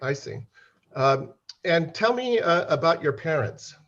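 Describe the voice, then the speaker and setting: A man hosting a video-call interview, talking haltingly with many fillers and repeated words. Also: on the high side, very animated, fairly bright tone, lo-fi sound. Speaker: host.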